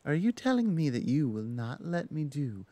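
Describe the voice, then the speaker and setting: A man's voice: fairly high pitch, sing-song, normal speed, warm tone, dry recording. A man playing a curious, self-important female orc shaman, an insistent sister in an ASMR roleplay drama. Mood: eager, indignant.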